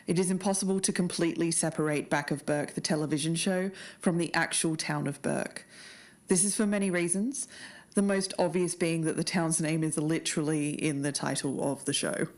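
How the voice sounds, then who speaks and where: A woman narrating an investigative podcast as co-host in a measured way. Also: sits low.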